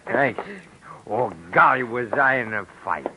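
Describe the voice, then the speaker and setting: A man's voice: mid-range voice, lively intonation, slow pace. An actor playing a cheerful drunk man stumbling home at dawn, slurring and rambling in 1940s radio style. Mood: giddy drunk. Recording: hissy old radio broadcast.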